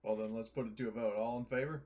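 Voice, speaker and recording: Male tabletop player, mid-pitched voice, informal and blunt, arguing his case in casual roleplay. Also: fairly even intonation, fast talker, a little mellow.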